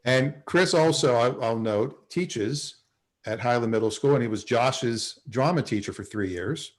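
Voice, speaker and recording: A middle-aged man with a mid-pitched voice hosts a casual group chat over a video call. He speaks conversationally, putting questions to the others. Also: a little slow.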